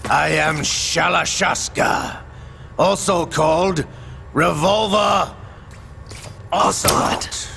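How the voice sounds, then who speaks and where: A man's voice: high voice, slow, vocal fry, pauses often, sharp tone, slight background noise, heavily acted. An actor voicing a sly, mocking male villain who talks theatrically.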